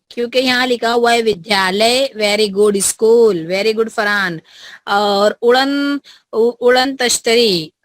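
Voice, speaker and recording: Teacher coaxing pupils on a video call. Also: female voice, on the high side, fairly bright tone, no room sound. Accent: Indian. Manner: coaxing, repeats prompts to pupils. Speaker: school teacher. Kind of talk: online class teaching.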